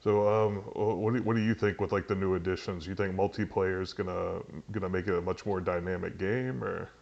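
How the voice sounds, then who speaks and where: A man with a very low, deep voice hosts a casual interview, often restarting his sentences and leaning on fillers. He sounds muffled and dull, as if heard through a basic computer microphone. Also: steady tone, creaky, a little mellow. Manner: halting, many "you know" fillers and restarts. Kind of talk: casual video-call interview.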